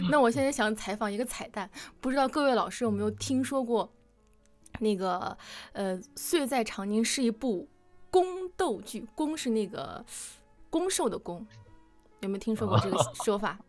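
A woman's voice: on the high side, lively intonation, normal speed, soft background music. A woman hosting a casual interview chat in a playful, giggly manner. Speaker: host.

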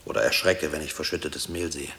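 A haunted husband who was once a prisoner, in an old German radio play. His low voice is grave and deliberate, brooding over the past, and it hangs in a long reverb. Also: fairly even intonation, sharp tone, full character voice. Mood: haunted, fearful. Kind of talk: 1960s German radio drama acting.

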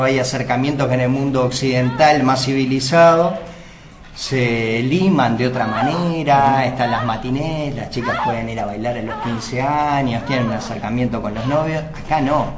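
Man voicing a blunt, boastful Uruguayan soldier for a live radio sketch, mid-pitched, roomy studio sound. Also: normal speed, a crowd in the background, natural voice. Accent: Uruguayan Spanish. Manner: swaggering, blunt, rambling. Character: boastful crude male soldier brigadista. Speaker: comic guest character.

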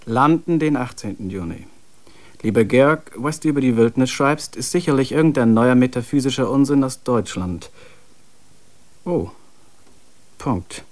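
A male actor as a twenty-year-old Englishman in a radio drama. He has a medium-pitched voice and reads his letters soberly, with dry understatement and a sceptical edge. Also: lively intonation, measured pace, pauses often, close to an everyday voice. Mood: dry scepticism. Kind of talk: radio play, letter reading.

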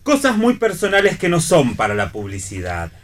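A provocative, animated Argentine man. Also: a little high, moderate pace. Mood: amused. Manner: teasing, provocative. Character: provocative, self-assured man, no longer very young, sparring teasingly. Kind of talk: radio theatre reading.

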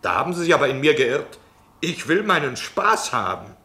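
A man's voice: on the high side, big pitch swings, normal speed, sharp tone. An actor playing a talkative, nosy, self-important travelling salesman in a German radio play. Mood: indignant, mocking. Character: chatty, pushy, cocksure travelling salesman in knitwear.

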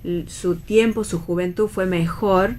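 An Argentine woman talking casually and a little haltingly in a literary discussion, often checking with short tag questions. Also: lowish voice, fairly even intonation, darkish tone. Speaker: panelist on a radio theatre discussion show. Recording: clear background ambient noise.

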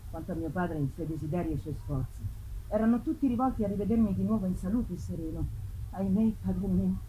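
A woman giving a measured, theatrical literary narration at a live performance. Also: lowish voice, animated, phone-quality sound. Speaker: reader. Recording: clear background ambience noise.

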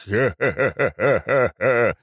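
A man voicing a weary, pompous undead necromancer in an audiobook, with drawn-out, haughty grumbling. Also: sits low, expressive, a little mellow, no room sound, clean studio sound.

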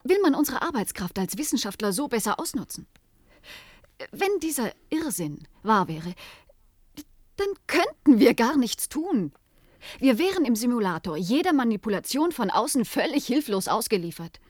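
Radio play scientist: slightly high voice, lively dramatic swings, skeptical and emphatic, close dry sound. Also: female voice, normal speed, dry recording. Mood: fearful, bitter. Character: female scientist, skeptical, anxious, sharp-tongued. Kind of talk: German science fiction radio play acting.

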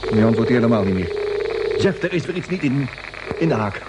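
Man acting excitable spaceship radioman, noisy old recording. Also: mid-range voice, fairly quick, natural voice. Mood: worried. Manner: colloquial, exclamatory. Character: excitable, grumbling male spaceship radio operator. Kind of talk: vintage radio drama acting.

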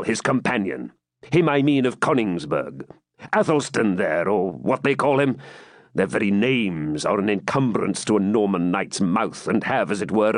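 A man's voice: lowish voice, dry recording, clean studio sound. A man voicing a furious Norman baron. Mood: commanding. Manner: bellowing, threatening, slow and heavy. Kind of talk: audiobook character reading.

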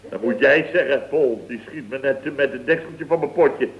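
A man acts out an exasperated boss of a building firm in radio comedy style. His voice sits at a middling pitch, and he sputters, stammers and turns sarcastic. The sound is dull, like an old broadcast. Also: normal speed, close to an everyday voice. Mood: sarcastic outrage. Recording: dull vintage radio recording.

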